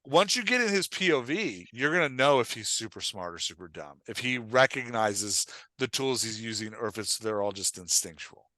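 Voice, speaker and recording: Bright, emphatic man coaching in conversation. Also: medium pitch, animated, moderate pace, hardly any pauses, no room sound. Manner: emphatic, repeatedly checks for understanding; acts out short example lines of dialogue. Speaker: podcast host and writing coach.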